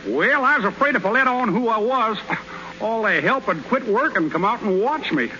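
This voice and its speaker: An actor playing a brash, boastful and naive young rookie pitcher with folksy slang in a 1930s radio comedy. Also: male voice, expressive, normal speed. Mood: cocky. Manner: cocky, loud, folksy slang. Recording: muffled, noisy 1930s radio broadcast recording.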